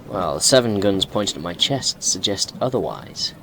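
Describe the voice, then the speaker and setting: A man acting as a cold, imperious possessed soldier who speaks with deliberate threat in an audio drama. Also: low-pitched, animated, vocal fry, a bit bright, heavily acted. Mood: menacing, cold. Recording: stormy noisy effects behind.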